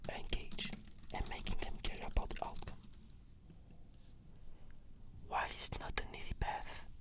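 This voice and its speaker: A whispered ASMR voice giving scripted, fluent answers as a patient in a roleplay, in a measured, reflective manner. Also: fairly bright tone.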